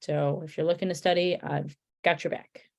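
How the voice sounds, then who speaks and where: A woman's voice: sits low, fairly even intonation, normal speed, creaky, muffled recording. A woman with a Connecticut accent, a guest chatting casually and rambling with restarts. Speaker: podcast guest, beer comic artist. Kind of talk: casual chat.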